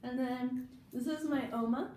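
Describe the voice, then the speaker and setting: A young woman interviewing her grandparents in a casual family conversation, asking questions with a high, giggly voice. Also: fairly even intonation, unhurried, mellow, roomy sound, a little hiss or hum.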